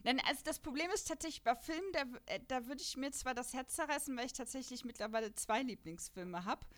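Woman, higher-pitched, casual podcast chat, animated, rambling, giggly. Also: fast talker. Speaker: podcast co-host.

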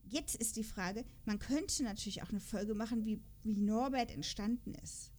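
A woman's voice: a little high, moderate pace, darkish tone. A woman hosting a podcast in casual, chatty conversation, jokey and partly going through notes. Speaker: podcast host.

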